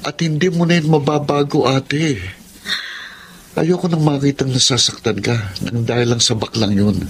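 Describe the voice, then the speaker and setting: A man's voice: medium pitch, fairly even intonation, moderate pace, slightly rough. Blunt, cocky young Filipino man. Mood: insistent advising. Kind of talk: Filipino radio drama acting.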